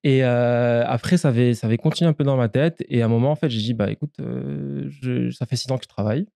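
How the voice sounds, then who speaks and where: A man's voice: medium pitch, quick pace, vocal fry, warm tone, no echo, clean studio sound. A man in his thirties from Morocco, chatting casually as an interview guest and often repeating phrases for emphasis. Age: 30s. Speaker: podcast guest, online deals entrepreneur.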